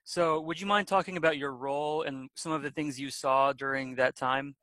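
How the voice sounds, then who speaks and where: Upbeat male podcast host with a slightly raised pitch, dry close recording. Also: fairly even intonation, normal speed, sharp tone, no room sound. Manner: upbeat, a bit rambling questions. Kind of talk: podcast interview.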